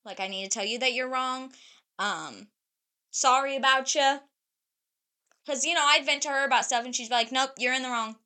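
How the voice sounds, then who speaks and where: Blunt, bright-voiced woman in her twenties. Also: high voice, normal speed, pauses often. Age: 20s. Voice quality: loose, swearing, rambling with fillers. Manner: blunt, animated storytelling. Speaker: podcast co-host. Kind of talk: casual chat.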